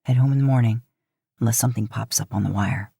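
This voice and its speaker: A woman voicing a gruff, laid-back, loyal grown man in a story. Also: very deep voice, quick pace, warm tone, no echo, studio quality, voice pushed down, exaggerated voice. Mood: casual.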